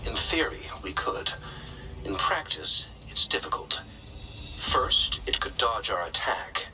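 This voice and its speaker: Casting: a dry, pragmatic game tech specialist, very sharp forceful tone over a lowish pitch, clipped delivery. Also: male voice, big pitch swings, slow, faint music behind, full character voice, rough recording. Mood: matter-of-fact, dry. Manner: matter-of-fact, clipped technical explaining. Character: brisk, pragmatic male weapons specialist, dryly skeptical. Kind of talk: scripted video game cutscene acting.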